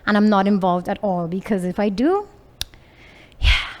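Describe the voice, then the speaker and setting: A woman with a Trinidadian accent speaks as an interview guest, candid and expressive. Her answers come in open, personal bursts, with frequent laughter. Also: mid-range voice, normal speed. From Trinidad and Venezuela. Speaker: entrepreneur guest.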